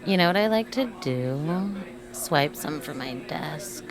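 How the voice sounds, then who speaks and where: An actress plays a nosy office coworker. Her voice is on the low side, and she talks slowly in a casual, confiding way. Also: lively intonation, crowd noise. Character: chatty, nosy, snack-pilfering female office coworker. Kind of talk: naturalistic stage acting in a livestreamed play.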